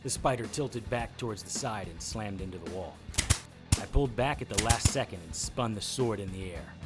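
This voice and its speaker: A man's voice: fairly low pitch, lively intonation, moderate pace, lightly acted. An actor playing a tough, wisecracking monster hunter, narrating his own story in a dry noir style for an audio drama. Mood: tense narration. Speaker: lead actor narrating in first person. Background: music bed under the voice.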